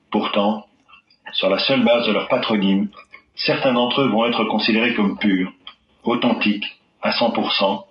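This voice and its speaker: A man giving measured, formal documentary narration. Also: fairly low pitch. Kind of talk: scripted narration. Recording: dull roomy archive audio.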